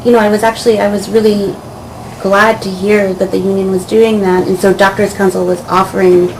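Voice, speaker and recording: A woman, a volunteer doctor, recounting her experience in a measured way with frequent "um". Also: mid-range voice, gravelly, poor audio. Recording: steady background hiss.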